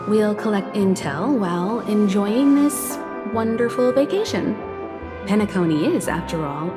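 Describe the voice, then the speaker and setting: An actress voicing a composed, cautious woman who leads a space crew in a video game, speaking in a measured, thoughtful way. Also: medium pitch, lightly acted. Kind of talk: English video game voice acting. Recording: music playing behind the voice.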